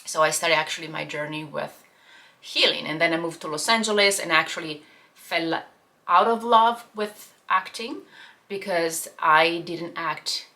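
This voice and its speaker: A woman in her thirties with a clear Italian accent, talking openly to camera. Her voice sits slightly low for a woman but sounds bright and forceful. She pauses, restarts and reaches for English words, speaking with quiet conviction. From Italy. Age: 30s. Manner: earnest, open, with many fillers and restarts. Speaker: life and business coach. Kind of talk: personal story told to camera.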